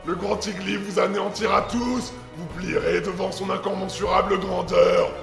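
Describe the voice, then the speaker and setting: An actor playing a wrathful, boastful demon in an audio drama, pompous and menacing, with a gravelly voice. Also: male voice, high voice, little pitch movement, moderate pace. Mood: exalted threat. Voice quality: gravelly, creaky. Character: wrathful, boastful demon, a pale head from hell. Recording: echoey long reverb under loud music.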